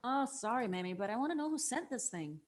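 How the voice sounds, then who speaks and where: A woman's voice: a little high, big pitch swings, fast talker. A woman playing an anxious, questioning young granddaughter in a drama script table read. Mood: apologetic, eager.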